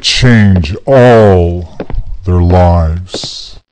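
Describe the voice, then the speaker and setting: A man narrating a scripted radio play as a measured storyteller. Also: deep voice, darkish tone, a little hiss or hum.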